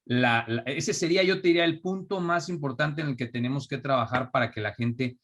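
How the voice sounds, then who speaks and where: A young adult man from Mexico with a Mexican accent, speaking as an interview guest in a relaxed, reflective way with frequent restarts. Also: medium pitch, fairly quick, slight background noise. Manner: thoughtful, restarts often, reflective. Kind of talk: conversational interview.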